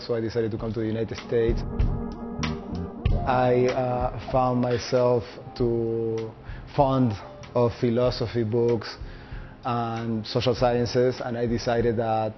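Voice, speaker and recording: Deliberate, monotone man in his thirties with a Uruguayan Spanish accent, picking his words with care, muffled lo-fi audio. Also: medium pitch. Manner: measured and deliberate, searching for words. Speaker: law student. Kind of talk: personal video statement. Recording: muffled lo-fi recording with faint background music.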